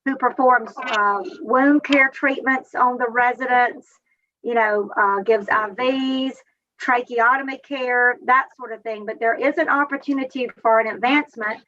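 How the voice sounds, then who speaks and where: A woman with a sharp, bright voice gives an informal explanation as a recruiting panelist. The sound is slightly muffled and a bit distant from the microphone. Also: on the high side, fairly even intonation, measured pace. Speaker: job fair recruiter and panelist.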